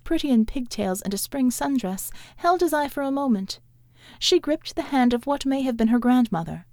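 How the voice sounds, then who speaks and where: Higher-voiced woman narrating fiction. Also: a little mellow, dry recording, clean studio sound. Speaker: audiobook narrator. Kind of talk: scripted literary fiction narration.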